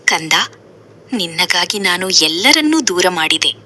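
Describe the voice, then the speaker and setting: A woman's voice: lowish voice, sing-song, measured pace, background noise. A woman playing a domineering, insistent stepmother in a moral story. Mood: sorrowful. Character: domineering stepmother doting on her own son.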